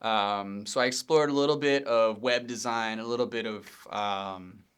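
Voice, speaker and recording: A man in his thirties from Pennsylvania, hosting a casual solo podcast from his home studio in a relaxed, rambling way with many ums and self-corrections. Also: fairly low pitch, moderate pace, clean tone. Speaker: podcast host, video editor.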